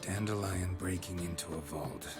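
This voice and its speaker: Man as gruff monster hunter, very low voice, terse, dry, sardonic, video game drama, music behind. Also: normal speed, lightly acted.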